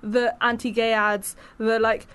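A woman's voice: a little high, little pitch movement, a little slow, fairly bright tone, smooth. A woman who is a podcast guest, talking frankly and chattily and speaking her mind. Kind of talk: casual conversational interview.

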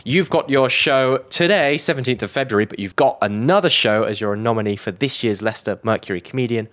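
A man's voice: medium pitch, fairly quick, a bit bright. A man hosting a radio show, interviewing in a casual, chatty way. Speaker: radio host.

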